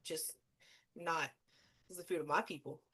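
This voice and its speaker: A woman from Louisiana with a Louisiana accent, chatting casually as co-host, animated and laughing often, with plenty of swearing. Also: low-pitched, measured pace, slight background noise. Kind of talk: casual chat.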